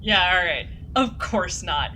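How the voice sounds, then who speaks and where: A young woman streaming a game, chatty and playful, reacting quickly to the story. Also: fairly low pitch, lively intonation, bright, forceful tone, a little hiss or hum. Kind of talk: live game commentary. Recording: voice with game music behind.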